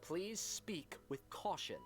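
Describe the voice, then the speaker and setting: An actress playing a stern debate moderator in a video game, formal and authoritative. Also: female voice, lowish voice, lively intonation, slow pace, over music.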